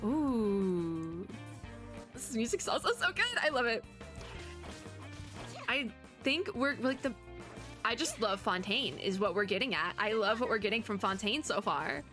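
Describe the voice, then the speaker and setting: A woman's voice: mid-range voice, expressive, a little slow, long pauses, music in the background. A young woman doing casual live reaction commentary as a streamer, chatty, enthusiastic and informal. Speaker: streamer reacting to game trailers.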